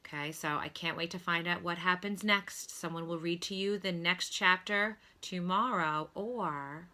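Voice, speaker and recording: A woman narrates a children's storybook as a teacher would for pupils. Her voice sits a little low for a woman yet has a bright, forceful edge, and her reading is expressive. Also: unhurried.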